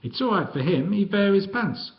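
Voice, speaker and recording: A man's voice: high-pitched, animated, moderate pace, dull audio, pitched above the performer's own voice. A man voicing a huge, jolly old female ex-wrestler with a guttural voice. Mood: hearty.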